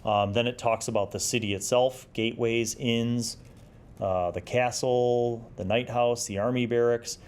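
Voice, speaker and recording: A man's voice: mid-range voice, steady tone, measured pace, a little mellow, smooth. A middle-aged man hosting a review series, talking casually and enthusiastically in an informal, rambling way as he pages through material. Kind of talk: casual chatty magazine review, commenting while paging through.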